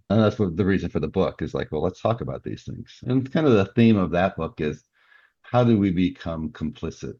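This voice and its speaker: A man with an extremely deep, creaky voice speaks as an author being interviewed. He explains himself casually and conversationally. The recording is dry and slightly dull. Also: darkish tone, no room sound.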